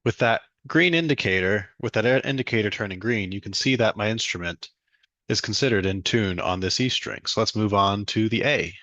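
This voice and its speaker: A young man guides the listener through a software demo step by step. His voice is on the low side, and the sound is close and dry with no room echo. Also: moderate pace. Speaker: student demo presenter.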